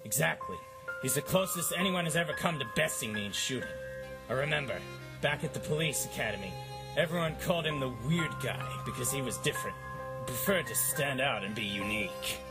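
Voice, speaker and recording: An actor playing a pompous, self-important, dim detective, melodramatic in a 1950s-style radio drama. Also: male voice, mid-range voice, expressive, brisk, music in the background, lightly acted, rough recording. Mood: indignant.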